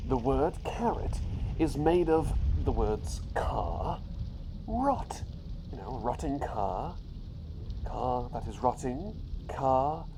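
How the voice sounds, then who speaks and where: An eccentric, kindly doctor who has become a talking bird, acted out by a man, speaks very slowly over clear background noise. The delivery is soft ASMR roleplay, playful and soothing, slipping in and out of whispers. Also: mid-range voice. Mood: playful, teasing. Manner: playful, avuncular British-style phrasing, with drawn-out repetitions.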